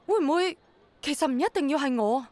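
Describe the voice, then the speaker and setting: An actress playing a spirited young woman in a radio drama, with a colloquial, expressive Hong Kong Cantonese delivery. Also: high voice, a little fast. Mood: earnest encouraging. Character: spirited young woman, hesitant but willing rain girl.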